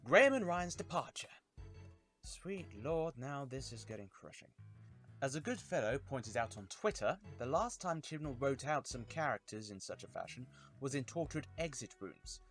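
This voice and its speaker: A man hosts a scripted commentary video. His mid-pitched voice moves through lively, wide swings, with dramatic stress on key words. Soft music plays behind him. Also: normal speed. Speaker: YouTube reviewer and host.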